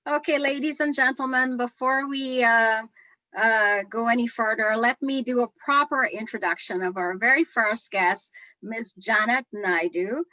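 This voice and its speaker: Bright-voiced woman hosting a livestream. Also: fairly high pitch, a little slow, no room sound, lo-fi sound. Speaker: host. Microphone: video call.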